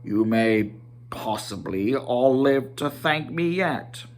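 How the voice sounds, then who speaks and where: A man's voice: mid-range voice, animated, takes it slowly, pitched below the performer's own voice. A man voicing a stern old wizard from a fantasy storybook, speaking with emphatic, scolding authority. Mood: insistent, commanding.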